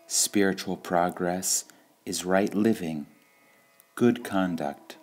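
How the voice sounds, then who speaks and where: A man reading spiritual sayings aloud in a calm, measured way, pausing between phrases. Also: deep voice, soft background music. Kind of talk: slow meditative reading of quotations.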